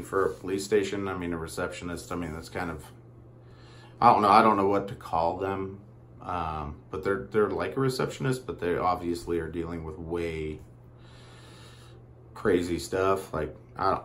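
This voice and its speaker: A man telling a personal story casually, in a rambling, halting way with frequent asides. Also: on the low side, fairly bright tone.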